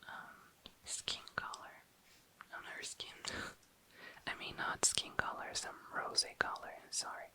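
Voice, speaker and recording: A woman's voice: slow, bright, forceful tone, poor audio. A woman whispering breathily and casually in ASMR style, informal and self-deprecating, close to the microphone. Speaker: ASMR video creator. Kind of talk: whispered ASMR, casual rambling commentary while working.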